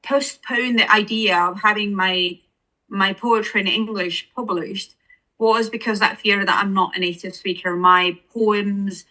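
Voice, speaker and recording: A woman's voice: medium pitch, fairly even intonation, measured pace, bright, forceful tone, rough recording. A woman with an Estonian accent, an author speaking as an interview guest, earnest and searching for words with many restarts. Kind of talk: reflective interview conversation.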